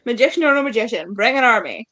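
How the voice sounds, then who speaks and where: A woman's voice: on the high side, animated, fast talker, fairly bright tone. A woman co-hosting an informal book discussion, chatting casually and acting out bits of dialogue as she retells. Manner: informal, acts out quoted dialogue. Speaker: book club live show co-host. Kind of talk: casual chat about books.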